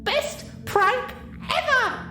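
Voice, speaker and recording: Shrill, hyper theatrical cartoon pony mare. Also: animated, extremely slow, recorded from a distance, full character voice. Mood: giddy. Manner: furious sing-song, shrill, exaggerated. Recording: roomy echo, clearly noisy background.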